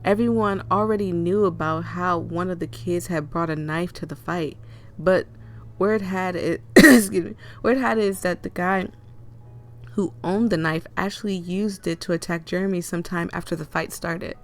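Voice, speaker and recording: Hoarse woman reading a game aloud. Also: on the low side, moderate pace. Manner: chatty, laughs and coughs often, rambling asides. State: hoarse, losing her voice with a cold. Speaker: game reader and streamer. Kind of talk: reading a visual novel aloud with asides.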